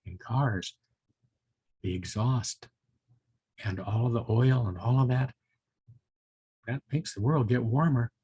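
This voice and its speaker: An older man with a husky voice, reading a storybook and chatting to young children. He is folksy and musing, and the recording is close and dry. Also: medium pitch, takes it slowly, pauses often, mellow, no room sound. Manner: folksy, musing, with interjections like hmm and well. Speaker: volunteer storybook reader for children.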